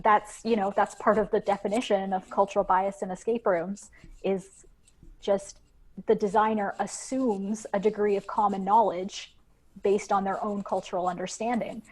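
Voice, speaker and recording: Woman explaining her research, thoughtful and measured. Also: mid-range voice, little pitch movement, a little mellow, poor audio. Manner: thoughtful, explanatory, with frequent "um"s and "you know"s. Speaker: guest researcher. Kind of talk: conversational interview answers.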